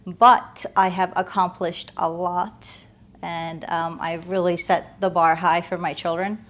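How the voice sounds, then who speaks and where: A woman's voice: lowish voice, fairly even intonation, unhurried, fairly bright tone. A woman speaks as an interview guest. She is earnest and reflective, talking conversationally and stacking short phrases to make her point. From United States, moved from Afghanistan as a toddler.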